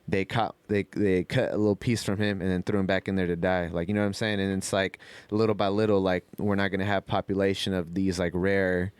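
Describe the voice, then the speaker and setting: A man's voice: low voice, flat delivery, brisk, few pauses, clear, resonant tone, no echo. A man talking in a casual, rambling podcast style, full of slang and cursing. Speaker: podcast co-host.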